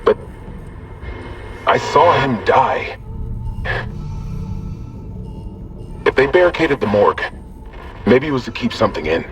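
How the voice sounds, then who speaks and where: A man's voice: medium pitch, big pitch swings, normal speed, soft background music, close to an everyday voice. An actor playing a stern, determined male squad commander in a video game, clipped and commanding under pressure. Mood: shaken. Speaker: security team leader. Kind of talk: dramatic video game acting.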